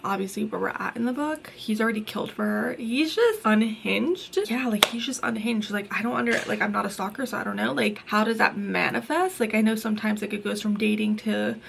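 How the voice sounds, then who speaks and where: A woman's voice: medium pitch, fairly quick, gravelly, hardly any pauses, noisy background. A young woman chatting casually to camera about her books, a little stuffy from a cold, with lots of fillers and restarts. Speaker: book vlogger. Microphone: phone camera.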